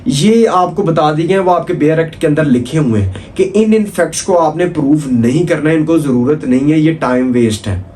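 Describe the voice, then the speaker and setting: A man's voice: a little high, normal speed, hardly any pauses, darkish tone, a little hiss or hum. A man with a Pakistani accent giving an informal law lecture, repeating points, posing rhetorical questions and mixing in English legal terms. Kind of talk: informal exam-oriented lecture.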